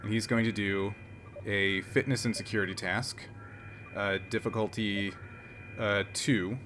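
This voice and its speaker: A man's voice: fairly low pitch, steady tone, a little slow, noisy background. A man running a tabletop game as game master, reading rules aloud with many fillers.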